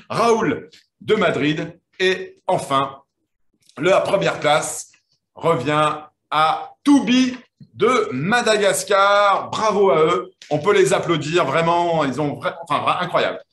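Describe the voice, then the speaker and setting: A man's voice: on the high side, fairly bright tone, echoey room, a little hiss or hum. A man hosting an online quiz final, interviewing the winners in a friendly, hesitant way with many fillers. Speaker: host.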